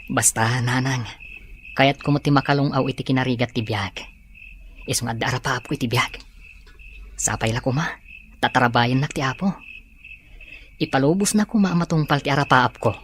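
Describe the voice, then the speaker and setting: A man's voice: medium pitch, normal speed, pauses often, fairly bright tone, a little hiss or hum, close to an everyday voice. A man acting an earnest, respectful young son longing for a better life in a radio drama, with an Ilocano Filipino accent. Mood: earnest, pleading.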